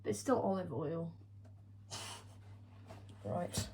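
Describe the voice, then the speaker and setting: A woman's voice: fairly low pitch, animated, very slow, a little mellow, a little hiss or hum. A woman joking around while baking in a home kitchen, often trailing off into mumbles. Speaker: co-host baking for a video. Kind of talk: casual chat.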